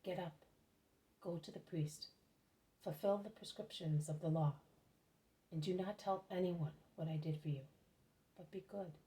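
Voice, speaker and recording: A woman reading aloud as a calm, loving male teacher who speaks with quiet authority. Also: a little slow, pauses often, lightly acted, low-quality recording. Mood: gentle. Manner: low, gentle and commanding.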